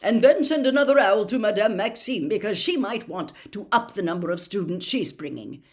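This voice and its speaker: A woman's voice: medium pitch, animated, lightly acted. A woman voicing a mad older male wizard official in an audiobook, veering between officious dictation and desperate, broken gasps. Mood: officious, rambling. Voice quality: breathy gasping, broken halting delivery. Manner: swings from fluent officious dictation to halting frantic gasps.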